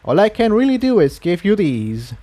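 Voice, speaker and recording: A man voicing a busy, courteous, absent-minded male pony townsman in a storybook, with a friendly, hurried manner. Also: high voice, big pitch swings, dark tone, voice pushed up. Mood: apologetic, helpful.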